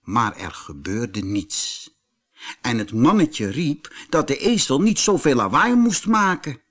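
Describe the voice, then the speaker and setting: A man narrating a children's storybook in an expressive, deliberate manner. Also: mid-range voice.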